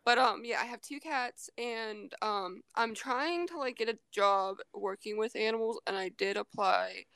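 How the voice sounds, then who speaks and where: A woman's voice: a little high, unhurried, fairly bright tone, smooth, no room sound. A woman in her twenties chatting as a podcast guest, rambling and giggling with lots of fillers. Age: 20s. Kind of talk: casual chat.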